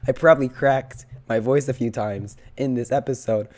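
A young man with a hoarse, cracking voice from illness, chatting casually as he opens loot boxes and pleads for better luck. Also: mid-range voice, fairly even intonation, moderate pace, darkish tone. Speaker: gaming channel host. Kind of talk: casual game commentary.

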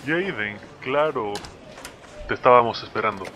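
Man playing a brisk police captain, noisy background. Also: mid-range voice, expressive, sharp tone. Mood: brisk, businesslike. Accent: Latin American. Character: busy, businesslike male police captain. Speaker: voice actor in a game fan dub. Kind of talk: dramatic dubbed dialogue. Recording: noisy office ambience behind.

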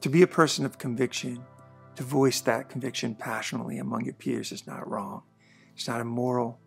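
Gravelly, husky man talking to camera. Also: mid-range voice, fairly even intonation, a little fast, faint music behind. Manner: earnest, conversational, with emphatic bursts and quiet asides. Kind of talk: reflective monologue to camera. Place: dark studio backdrop, indoors.

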